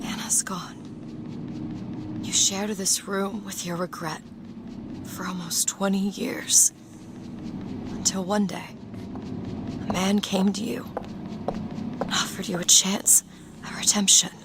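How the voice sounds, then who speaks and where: An actress playing a resolute, all-knowing young woman, speaking with deliberate intensity in a video game drama. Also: mid-range voice, flat delivery, whispered. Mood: sorrowful, hushed. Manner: deliberate, insistent. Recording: loud music over the voice.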